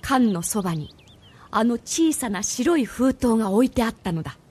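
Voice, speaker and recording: A woman's voice: on the high side, animated, normal speed, a little mellow, breathy edge. A woman narrating a scripted radio drama as its storyteller.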